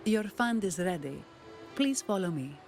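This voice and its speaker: A woman's voice: mid-range voice, animated, unhurried, a little mellow. A woman playing a formal, composed, drily polite bank employee and bodyguard in a video game. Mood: composed, professional. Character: composed, businesslike female bank staffer and bodyguard. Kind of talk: scripted video game dialogue. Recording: game music behind the voice.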